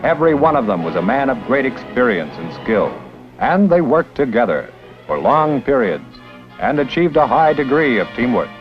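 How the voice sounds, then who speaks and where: A man's voice: mid-range voice, sing-song, moderate pace. A man giving scripted, proud, declamatory narration for an old documentary film. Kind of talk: scripted 1950s newsreel narration. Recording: dull old film soundtrack with music.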